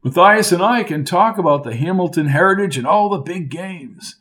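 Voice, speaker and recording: A man voicing an eccentric, chipper old male college coach with a jaunty, high-pitched, slow manner. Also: lively intonation, fairly bright tone.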